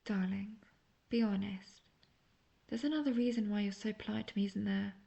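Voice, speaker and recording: Obsessive witch played by a woman for intimate audio roleplay: creaky, breathy, coaxing, sweetly manipulative. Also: mid-range voice, fairly even intonation, mellow, highs cut off, natural voice. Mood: teasing, probing. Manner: breathy, slow, coaxing, often half-whispered. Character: obsessive young female witch, lovestruck and manipulative.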